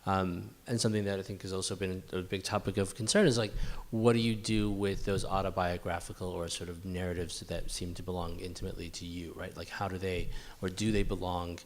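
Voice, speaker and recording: Man, very low voice. Academic moderator, informal, roundabout questioning. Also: flat delivery, brisk, hardly any pauses. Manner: tentative, roundabout, frequent "sort of".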